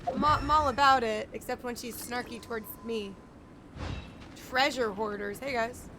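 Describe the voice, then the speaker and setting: A woman's voice: fairly high pitch, steady tone, normal speed, noisy background. A young woman giving casual, playful, chatty live commentary as she plays a video game. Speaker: game streamer. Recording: loud game music behind voice. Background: video game music and combat sounds.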